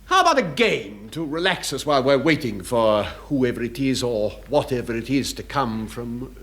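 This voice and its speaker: A man's voice: mid-range voice, big pitch swings, moderate pace, a bit bright. A man playing a suave but silly naval officer in a radio sitcom, polite, wordy and mock-dramatic. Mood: breezy. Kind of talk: 1960s radio sitcom acting.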